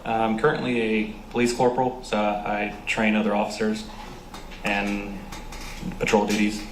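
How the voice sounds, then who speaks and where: A man in his twenties, a police officer, giving brief, quiet answers as a witness in court. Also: lowish voice, monotone, a little slow. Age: 20s. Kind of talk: courtroom testimony. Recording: echoey courtroom, distant mic, noisy.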